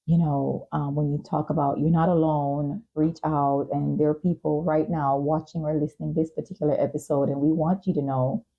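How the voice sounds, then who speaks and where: A woman hosting a podcast interview, speaking in an earnest, measured, reflective way with frequent pauses. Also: low voice, steady tone, gravelly, slightly rough, phone-quality sound. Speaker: talk show host and advocate.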